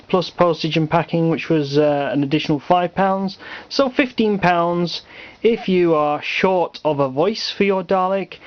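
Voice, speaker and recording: Higher-voiced British man, casual demo. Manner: halting, offhand explanation. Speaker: hobbyist presenter. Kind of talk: casual home demonstration. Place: small cluttered room at home. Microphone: handheld camera microphone. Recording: slightly muffled lo-fi camera audio.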